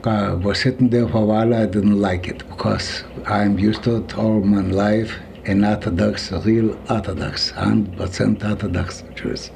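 Older man, Yiddish accent, halting speech, mellow dark tone. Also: lowish voice, fairly even intonation, vocal fry, slight background noise. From Poland. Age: 70s. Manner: halting, searching for words, slipping into Yiddish. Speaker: interviewee. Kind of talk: oral history recollection.

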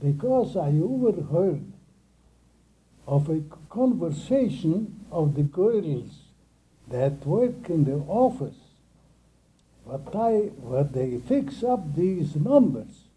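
An elderly man speaks very slowly and in a slightly raised register, his pitch swinging widely as he halts and restarts his phrases. His voice is dark and husky. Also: very animated, rough recording. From Russian Empire, near Kiev. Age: older. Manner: halting, with many restarts and repeated words. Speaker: interviewee. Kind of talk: oral history recollection.

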